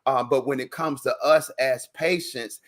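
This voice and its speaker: A man with a medium-pitched voice works as an interview host. He talks casually and encouragingly, with an earnest manner, and often doubles up words to stress them. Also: steady tone, a little slow.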